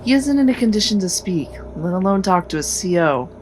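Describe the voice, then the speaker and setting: A woman's voice: mid-range voice, very animated, fairly quick, a little mellow, a little hiss or hum, close to an everyday voice. An actress playing a protective, troubled female soldier in an audio drama.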